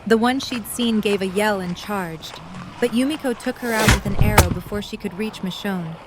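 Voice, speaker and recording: A woman's voice: mid-range voice, normal speed, over loud music, poor audio. A woman reading scripted third-person story narration for an audio drama.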